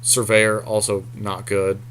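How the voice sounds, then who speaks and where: A man's voice: fairly low pitch, completely flat delivery, unhurried, a bit bright, slight background noise. A man co-hosting a gaming podcast, casually thinking out plays aloud with informal, salty banter. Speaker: podcast co-host playing an online card game. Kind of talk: casual game commentary, talking through plays.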